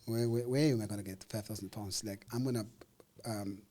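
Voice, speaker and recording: A man's voice: lowish voice, a little fast. South African man telling his story. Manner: animated, acts out dialogue with voices, hesitant fillers. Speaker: interview guest. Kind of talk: casual storytelling interview.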